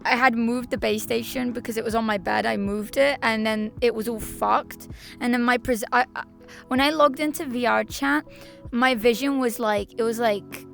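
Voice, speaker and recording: A woman streamer chatting casually to her viewers, her voice hoarse and raspy, rambling with stop-start restarts. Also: fairly high pitch, fairly bright tone. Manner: rambling, stop-start, repeats herself, laughs often. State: hoarse, slightly lost voice. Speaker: VTuber streamer.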